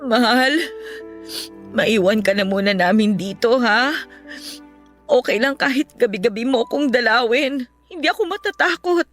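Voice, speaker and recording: A woman's voice: a little high, normal speed, long pauses, fairly bright tone, close to an everyday voice. An actress playing a grieving young widowed mother, plaintive and emotional, in a Filipino radio love-story drama. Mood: tearful, tender. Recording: music bed under the voice.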